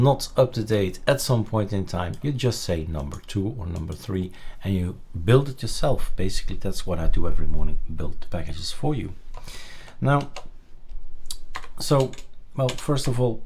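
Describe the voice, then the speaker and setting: Low-voiced man giving a software tutorial. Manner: halting, thinking aloud while demonstrating. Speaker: tutorial presenter. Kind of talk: casual screen-recorded software tutorial.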